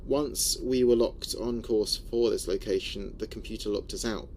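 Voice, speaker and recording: A man playing a weary, frightened spaceship crewman recording a log in a sci-fi horror audio drama, speaking gravely in halting, broken phrases with dramatic pauses. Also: on the low side, fairly even intonation, darkish tone, slight background noise, lightly acted. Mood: weary, anxious.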